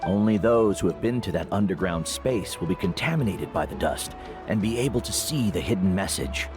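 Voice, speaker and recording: A calm, wise old guide mentor. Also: male voice, deep voice. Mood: steady. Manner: measured, reflective. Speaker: veteran guide and teacher. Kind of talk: game dialogue. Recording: game audio with faint music.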